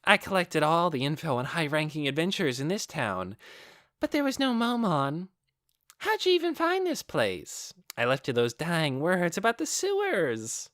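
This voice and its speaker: A man's voice: a little high, wildly swooping pitch, normal speed, a bit bright, no room sound, studio quality, voice pushed up. A man voicing a playful, sly young female villain with a flippant, sing-song way of talking, in a fantasy audiobook. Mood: puzzled, teasing.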